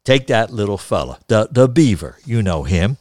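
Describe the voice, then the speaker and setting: A man's voice: fairly low pitch, big pitch swings, moderate pace, darkish tone, no room sound, studio quality. A man voicing a stubborn old small-town grandpa in a storybook, grumbling and rambling in folksy, ungrammatical talk. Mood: grumbling, insistent.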